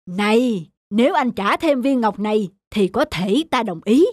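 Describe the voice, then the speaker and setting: A woman's voice: a little high, lively intonation, unhurried. A woman voicing a greedy, surly male trader with a haughty, scornful manner in a fairy-tale cartoon.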